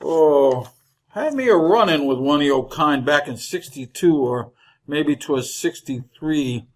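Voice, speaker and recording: An actor playing a chatty, folksy older wagon driver with a rural Western American drawl in an old-time radio Western. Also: male voice, on the high side, expressive, a little slow. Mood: amused, rambling. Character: garrulous leathery old frontier wagon driver, folksy and cheerful.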